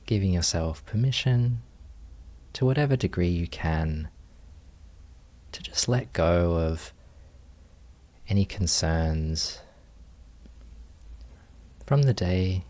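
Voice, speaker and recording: A man leading a guided meditation as a teacher, speaking gently in short phrases with unhurried pauses. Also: deep voice, lively intonation.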